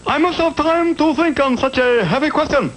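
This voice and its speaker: An actor voicing comic old men in a cartoon fairy-tale parody, speaking in a theatrical, formal manner. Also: male voice, very high-pitched, moderate pace, fairly bright tone, slight background noise. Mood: solemn.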